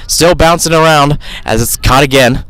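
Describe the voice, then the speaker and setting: A man's voice: fairly high pitch, normal speed, fairly bright tone, dry recording, poor audio. A man calling a live soccer match as a radio play-by-play commentator, talking in a conversational, improvised way with asides and self-corrections.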